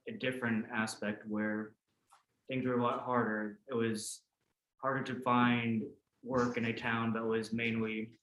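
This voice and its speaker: A man's voice: mid-range voice, a bit bright. American guy in his 20s telling his own story, roomy echo, some background noise. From Oklahoma, United States. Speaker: guest, theology student. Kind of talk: personal testimony, reflective storytelling.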